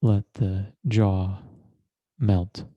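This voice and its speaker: Very slow, low-voiced man guiding meditation. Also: little pitch movement, dark tone, no room sound, highs cut off. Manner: deliberate, measured, with long pauses. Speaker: meditation teacher. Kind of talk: guided meditation.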